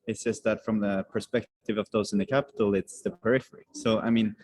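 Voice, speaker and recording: A man's voice: on the low side, fairly even intonation, fairly quick, a little mellow. A man speaking reflectively as a panelist. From Norway. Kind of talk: panel discussion.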